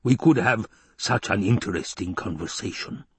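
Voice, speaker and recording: A man voicing an obese, sinister male baron with a rumbling basso voice, slow and taunting, in an audiobook. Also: slightly rough, dry recording. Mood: gloating. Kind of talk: audiobook character voice.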